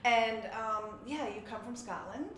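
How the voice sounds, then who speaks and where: A woman's voice: a little high, measured pace, noisy background. Friendly woman interviewer, echoey room. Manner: friendly, laughs often, prompts with short questions. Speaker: interviewer, watch journalist. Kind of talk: casual interview.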